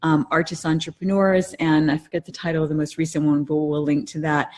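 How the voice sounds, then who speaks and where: A woman's voice: sits low, level tone, a little fast, darkish tone. A woman co-hosting a podcast, explaining things casually in a wordy way and rephrasing herself as she goes. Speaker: podcast co-host.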